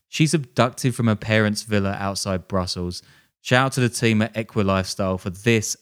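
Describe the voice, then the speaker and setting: A man's voice: on the low side, clear, resonant tone. A man hosting a podcast, telling a crime story casually with jokey, sardonic asides. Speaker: podcast host.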